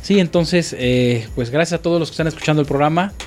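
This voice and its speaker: A man, a musician guest, chatting casually on a radio show, halting with many fillers. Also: fairly high pitch, soft background music. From Mexico. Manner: relaxed, halting with frequent fillers.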